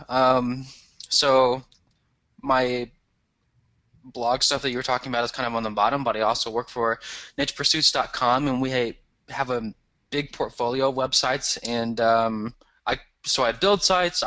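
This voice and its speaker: A man's voice: medium pitch, sharp tone, no room sound. A man with a cold giving a casual webinar lesson over slides, explaining terms with fillers and restarts. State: has a cold. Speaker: guest expert teaching a webinar.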